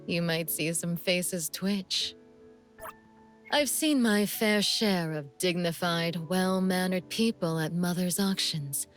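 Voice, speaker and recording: An actress voicing a seductive, sadistic female assassin in a video game, languid and teasing, with a deliberate, breathy delivery and cool menace. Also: fairly low pitch, soft background music. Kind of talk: video game character voice lines.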